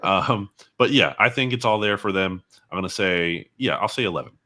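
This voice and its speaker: A man with a very low, bright and punchy voice gives football analysis as an interview guest. He reasons through his points in a measured, analytical manner. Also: animated.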